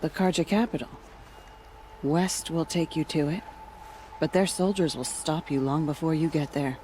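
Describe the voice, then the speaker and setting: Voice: mid-range voice, moderate pace, darkish tone, slight background noise, close to an everyday voice. A performer voicing a cautious, matter-of-fact guide in a video game cutscene. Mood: wary. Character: wary guide who knows the way to the capital.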